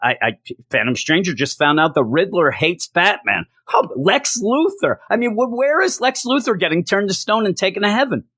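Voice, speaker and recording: A hoarse man reviewing a comic book, ranting in exasperation and repeating his complaints for emphasis. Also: sits high, very animated, normal speed, hardly any pauses, sharp tone, dry recording.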